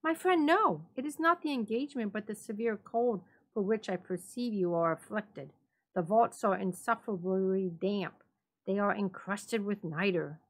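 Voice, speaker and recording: A courteous gentleman hiding revenge behind friendly concern, voiced by a woman. The delivery is coaxing and polite, in the manner of a dramatic storybook reading. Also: medium pitch, moderate pace, close to an everyday voice, low-quality recording. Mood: mock concern. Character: falsely friendly, vengeful gentleman feigning concern.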